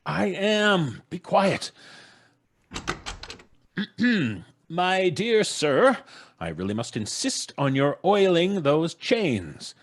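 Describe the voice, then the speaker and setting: A man's voice: high voice, big pitch swings, very slow, pauses often, full character voice. An actor playing a grumbling, stammering, sceptical father in an old-time radio comedy. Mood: blustering. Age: middle-aged. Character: blustering, practical, sceptical husband and father. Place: small home studio on a video call. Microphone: close studio microphone.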